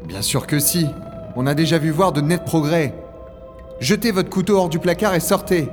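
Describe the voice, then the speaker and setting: An actor playing a gruff, impatient but well-meaning dragon hunter in a French sci-fi audio drama, speaking in blunt colloquial language. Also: male voice, fairly high pitch, normal speed, natural voice. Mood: calming, firm. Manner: colloquial, swearing, matter-of-fact. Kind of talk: French science-fiction audio drama with first-person narration. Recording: music behind the voice.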